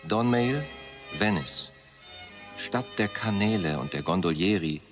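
A man's voice: deep voice, expressive, measured pace, over music. An actor playing a grandiose boss announcing his messages in florid, pompous phrases.

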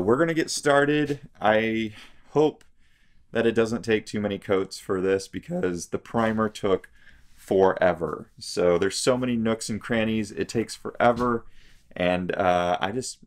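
A young man chatting casually as a vlog host while filming himself inside an empty RV he is painting. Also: fairly low pitch. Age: young adult. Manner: chatty, upbeat, self-filming. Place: inside a gutted RV, hard bare walls. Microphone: camera held at arm's length. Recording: music playing in the background.

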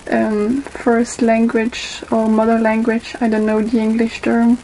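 A young woman in her twenties with a Dutch accent, whose first language is Dutch, giving a makeup tutorial into a webcam in a small bedroom, pausing often with ums as she works. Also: fairly high pitch, completely flat delivery, dark tone, slight background noise, low-quality recording. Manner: unhurried, with frequent ums and pauses while applying makeup. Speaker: beauty vlogger. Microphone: webcam in front of her.

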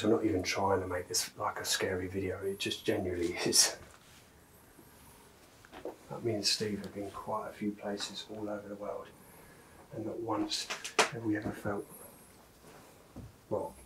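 Brief: nervous male co-explorer, low voice, roomy echo, clear hiss. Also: level tone, moderate pace, far from the mic. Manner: nervous, quiet. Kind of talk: hushed casual chat. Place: indoors in an abandoned house.

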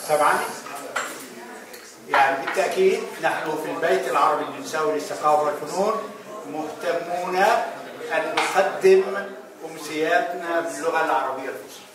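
A man's voice: on the high side, a crowd in the background. Older man giving a formal address. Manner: measured, formal Standard Arabic. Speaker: representative of the cultural house. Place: art gallery event room, standing. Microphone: handheld mic, heard from a distant camera. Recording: echoey gallery from far off.

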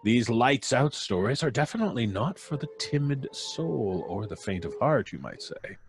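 A man playing a creepy old-time radio horror host, speaking slowly and theatrically with menacing chuckles. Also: mid-range voice, sing-song, pitched above the performer's own voice. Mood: ominous. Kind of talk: 1930s radio horror introduction.

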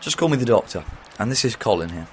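A man playing an eccentric time-travelling doctor, brisk and exclamatory, in a fan audio drama. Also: lowish voice, expressive, a little hiss or hum, close to an everyday voice.

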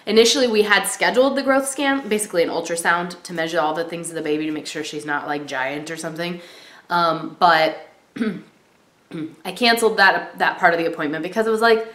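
A woman in her thirties giving a casual vlog update, chatty with many ums and likes, a little stuffy from a cold and clearing her throat. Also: on the low side, fairly quick, fairly bright tone. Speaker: vlogger. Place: small room indoors. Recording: roomy echo.

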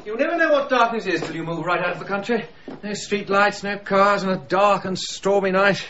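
A man playing a mild older English ex-army gentleman in a radio drama, polite, hesitant and drily understated. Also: sits high, a little fast, muffled recording, slight background noise. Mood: affable.

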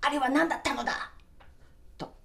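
An actress playing a mother reading a picture book aloud in a radio drama, slow and measured. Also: high voice, gravelly, sharp tone, full character voice. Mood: measured, storytelling.

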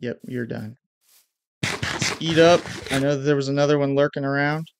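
A man's voice: mid-range voice, moderate pace, background noise. Young male gamer, stuffy nose, headset mic, home room, hyped intros and muttered play-by-play. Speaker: gaming YouTuber. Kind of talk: casual gameplay commentary.

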